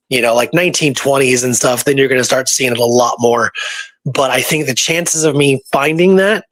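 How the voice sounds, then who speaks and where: A man's voice: medium pitch, a bit bright, no echo. A man co-hosting a casual history discussion, speaking emphatically and repeating points for stress. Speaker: co-host of a history talk show.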